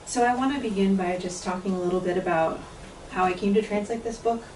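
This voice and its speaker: A woman in her fifties, an author and translator, talks about her book in a measured, thoughtful way, pausing often with "um"s. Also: mid-range voice, a little mellow, a little hiss or hum. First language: English. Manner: measured, thoughtful, with frequent pauses and "um"s. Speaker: author and translator giving a talk. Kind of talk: book talk with poetry reading. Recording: echoey room, voice picked up from a distance.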